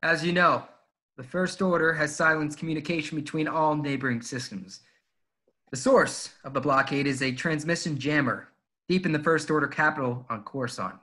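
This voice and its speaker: A man playing a confident rebel commander briefing his troops, brisk and earnest. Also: fairly high pitch, sharp tone. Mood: resolute. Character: confident male resistance pilot-commander giving a briefing. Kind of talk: fan table read of a film script.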